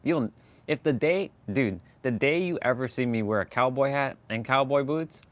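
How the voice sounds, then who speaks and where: A man's voice: mid-range voice, moderate pace. A man hosting a casual livestream, rambling with many restarts and fillers and laughing often. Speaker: livestream host.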